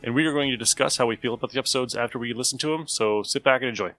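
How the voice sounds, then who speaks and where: A man's voice: mid-range voice, very fast. A man introducing a podcast episode in a casual, scripted host style. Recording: voice over faint music. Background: faint music.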